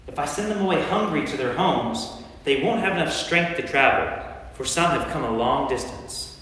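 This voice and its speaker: In a reverberant church hall, a man plays an earnest, commanding male teacher in a staged scripture reading. His voice sits fairly high and moves with lively swings in pitch. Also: moderate pace. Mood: compassionate.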